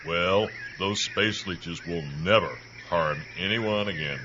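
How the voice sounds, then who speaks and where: A man's voice: sits low, slow pace, muffled recording, background noise. A man playing a grave, determined father and small-town doctor in a melodramatic B-movie parody. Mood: smug. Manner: earnest, melodramatic. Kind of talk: B-movie parody radio acting.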